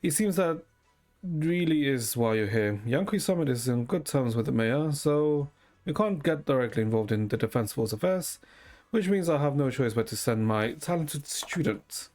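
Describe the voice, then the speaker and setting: A man's voice: medium pitch, lively intonation, moderate pace, darkish tone. A man streaming a game, reading its dialogue and narration aloud and adding casual commentary. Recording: game music faintly behind.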